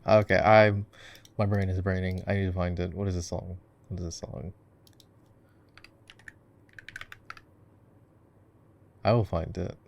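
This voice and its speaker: A man's voice: deep voice, creaky. A man playing an easygoing, affectionate gamer boyfriend in a casual ASMR roleplay, rambling and musing aloud as he guides his partner through a game. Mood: musing, playful. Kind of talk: casual ASMR roleplay chat while gaming.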